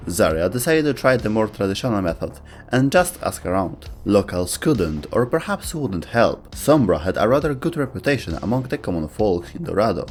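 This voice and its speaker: A man's voice: fairly low pitch, sing-song, normal speed. A man reading scripted narration as the narrator of an explainer video.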